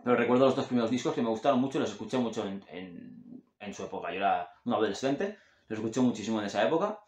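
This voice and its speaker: A man's voice: on the low side, animated, fast, darkish tone. A man, chatting casually and rambling enthusiastically as he recommends music to the camera at his desk. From Catalonia, Spain. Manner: rambling, enthusiastic, self-correcting, gestures with his hands. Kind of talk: casual chat. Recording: roomy echo in a home room.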